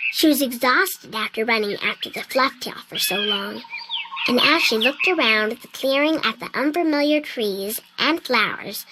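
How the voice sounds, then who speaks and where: A woman voicing a small winged girl who earnestly tells a children's tale, in video game dialogue, with loud noise behind. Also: on the high side. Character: small winged girl, sweet and eager storyteller.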